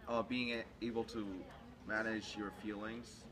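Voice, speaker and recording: A twenty-year-old man from Korea giving short, measured interview answers in a campus lounge. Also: lowish voice, flat delivery, a bit bright, rough recording.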